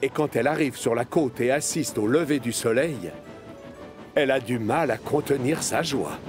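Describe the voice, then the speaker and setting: A man's voice: medium pitch, moderate pace. Male storyteller over music. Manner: storytelling, expressive. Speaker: narrator. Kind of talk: scripted children's cartoon narration.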